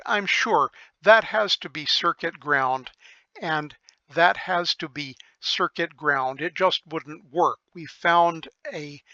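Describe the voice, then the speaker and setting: A man with a fairly high, bright voice walks through technical material very slowly and methodically, pausing to think aloud. The sound is close and dry, though a little dull and lo-fi. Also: gravelly, dry recording. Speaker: electronics repair hobbyist presenter. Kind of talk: informal technical explanation of a circuit diagram.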